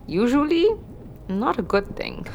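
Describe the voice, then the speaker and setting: Grudging fantasy seer female actor, ominous and cryptic, slow delivery of inverted archaic lines with animated intonation. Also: fairly high pitch, creaky, dull audio, a little hiss or hum, full character voice. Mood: grim, cryptic. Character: cryptic fortune-telling woman, brooding and grudging. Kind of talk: fantasy audio drama acting.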